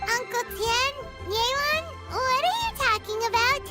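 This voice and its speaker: A woman voicing a tiny childlike fairy companion in a video game, squeaky, naive and curious. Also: measured pace. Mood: puzzled, curious. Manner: naive, refers to self in third person. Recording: music behind the voice.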